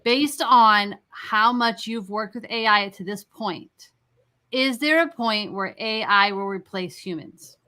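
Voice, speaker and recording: A woman with a bright, forward voice at a medium pitch hosts an interview. She talks conversationally and emphatically, leading into her questions with informal comments. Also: takes it slowly. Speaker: interviewer, panel moderator.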